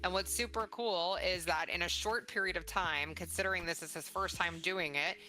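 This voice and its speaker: A woman's voice: fairly low pitch, normal speed, bright, forceful tone, poor audio. A middle-aged woman hosting a casual conversational interview in an enthusiastic, encouraging way. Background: faint music.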